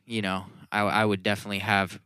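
A man's voice: on the low side, flat delivery, fast talker, bright, forceful tone, clean tone, dry recording. A man in casual podcast chat, explaining things in a rambling, informal way with some swearing.

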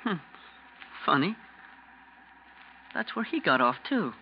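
Slangy young elevator operator for vintage radio play: gossipy, insinuating, high and very animated. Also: male voice, slow pace, full character voice. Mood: suspicious, musing. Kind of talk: old-time radio drama acting. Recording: muffled, noisy old radio recording.

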